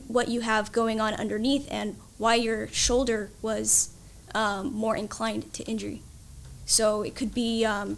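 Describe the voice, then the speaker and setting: A woman's voice: a little high, gravelly. A woman explaining her field as a guest expert in an interview, in an instructive, matter-of-fact way.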